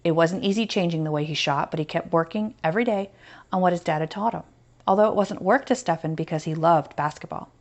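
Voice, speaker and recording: A woman with an American accent reads a children's story aloud as a teacher. Her voice sits slightly low, and she moves between narration and explanatory asides that stress key points. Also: fast talker, lo-fi sound.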